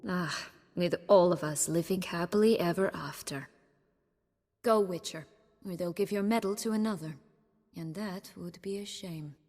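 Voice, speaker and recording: A woman's voice: lowish voice, measured pace, a little mellow, long reverb tail. A woman playing a bitter, proud exiled noblewoman in a video game, speaking with haughty, mocking sarcasm. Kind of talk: video game cutscene acting.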